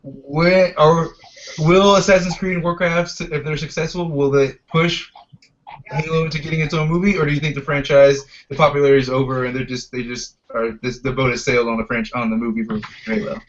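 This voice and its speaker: A man's voice: a little high, fairly even intonation, a little fast. A man hosting a podcast in a casual, conversational way. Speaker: podcast host. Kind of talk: casual chat. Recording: dull, lo-fi podcast audio.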